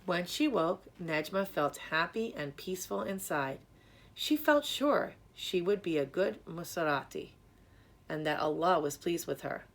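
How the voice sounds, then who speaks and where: A woman reading a children's story aloud in a measured, expressive storytelling manner. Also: fairly low pitch, a little hiss or hum. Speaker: storybook reader. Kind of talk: scripted narration, children's story read aloud.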